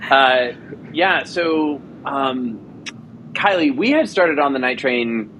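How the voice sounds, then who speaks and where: Easygoing man pitching a drama. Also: lively intonation, takes it slowly, fairly bright tone, slight background noise, low-quality recording. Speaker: guest producer. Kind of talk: casual interview chat.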